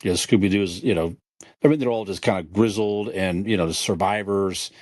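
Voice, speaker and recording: A man's voice: low-pitched, a little fast, darkish tone, dry recording, clean studio sound. A man co-hosting a podcast, chatting casually and easygoing, often repeating a phrase for emphasis. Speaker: podcast co-host.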